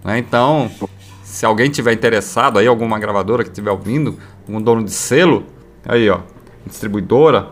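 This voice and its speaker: A man's voice: on the low side, brisk. A Brazilian man with a Brazilian Portuguese accent hosting a metal podcast, chatting informally and peppering his talk with slang tags. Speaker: podcast host. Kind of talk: casual interview chat.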